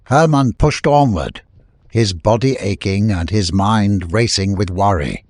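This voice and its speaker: A man's voice: on the low side, a little slow, darkish tone, dry recording. A man reading scripted fiction as a narrator, in dramatic audiobook style.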